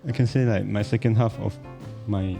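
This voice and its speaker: A man's voice: on the low side, fairly even intonation, moderate pace, creaky, over music. A young man from Malaysia, a podcast guest giving short, shy answers in casual chat.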